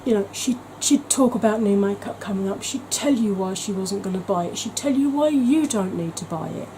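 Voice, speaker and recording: A woman's voice: medium pitch, normal speed, dark tone, slight background noise, poor audio. A woman talking in a chatty, gushing way as a beauty vlogger, with frequent ums. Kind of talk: casual vlog chat.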